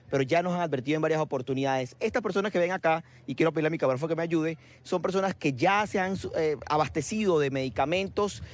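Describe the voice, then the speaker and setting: Male field reporter, outdoor live news, measured questions, a touch high. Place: outdoors at a border bridge.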